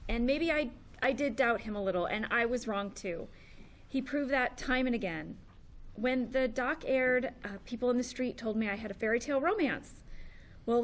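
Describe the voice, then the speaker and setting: A woman acting a shy, private, devoted wife in an earnest, halting way at a comedy table read. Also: fairly high pitch, close to an everyday voice. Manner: earnest, halting, self-conscious. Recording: live stage, faint background noise.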